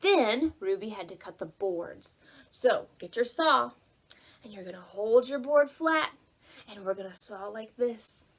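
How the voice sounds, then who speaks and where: A woman's voice: fairly high pitch, lively intonation, a little slow. A woman hosting a library storytime, reading and giving simple instructions expressively for preschool children. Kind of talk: preschool read-aloud and instruction.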